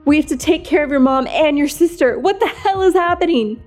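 A man's voice: extremely high pitch, lively intonation, a little fast, soft background music. A woman voicing a middle-aged father who snaps sharply in a crisis. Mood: frantic. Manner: sharp, snapping. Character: frantic, snapping father in a crisis.

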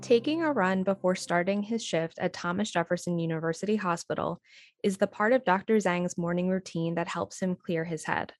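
A woman with a slightly low voice reads podcast narration from a script. She is composed and articulate, and the sound is dry and close, free of room echo. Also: normal speed. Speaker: podcast co-host.

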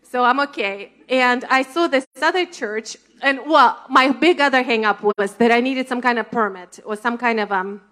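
A woman with a Russian accent, a ministry leader sharing a testimony in conversational church talk, animated and storytelling. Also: fairly high pitch. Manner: animated, laughing, storytelling with quoted thoughts.

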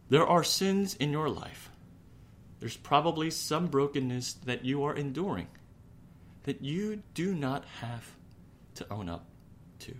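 A man preaching a sermon as a pastor, speaking in a measured, earnest way with deliberate pauses and repetition. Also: medium pitch, a little hiss or hum.

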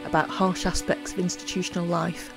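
Gravelly-voiced woman host over faint music. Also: fairly low pitch, level tone, brisk. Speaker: podcast co-host. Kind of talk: scripted podcast intro. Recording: dry voice with faint music behind.

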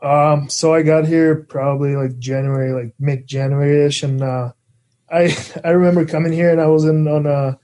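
Relaxed young Czech man, flat delivery. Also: fairly high pitch. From Czech Republic. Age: young adult. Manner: relaxed, halting, many fillers. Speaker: guest, pro hockey player. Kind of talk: casual interview chat.

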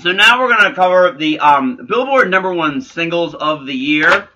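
A man's voice: on the high side, animated, moderate pace, muffled recording, background noise. A man hosting a casual, comedic music countdown chat. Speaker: host.

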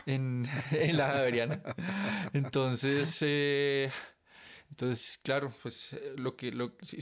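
Male musician being interviewed, Colombian accent, average pitch, informal and halting delivery. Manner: hesitant, self-correcting. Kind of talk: casual interview answer.

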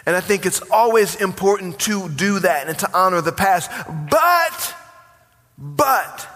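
A man's voice: high-pitched, lively intonation, measured pace, bright, forceful tone. A man in his forties, a pastor preaching a sermon with rising emphasis and call-and-response. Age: 40s. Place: church sanctuary. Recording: long hall reverb.

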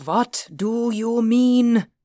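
A man's voice: very high voice, very slow, mellow, no room sound, studio quality, pitched above the performer's own voice, exaggerated voice. A man voicing an agitated, demanding security chief in an audiobook story. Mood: agitated, urgent. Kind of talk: audiobook character dialogue.

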